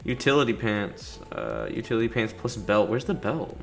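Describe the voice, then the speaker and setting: Male let's-player, casual and chatty, very expressive pitch, talks playfully to characters, light game music underneath. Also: normal speed, gravelly. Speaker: gaming YouTuber doing a playthrough. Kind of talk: casual gameplay commentary. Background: video game music.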